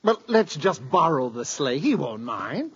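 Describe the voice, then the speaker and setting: A man's voice: on the high side, very animated, a little slow, husky, exaggerated voice. An actor playing a jovial, boastful, drily joking neighbor and father in a 1940s radio comedy. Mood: cheerful. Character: jolly, chatty neighbor, harried father of many. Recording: muffled, hissy old radio show.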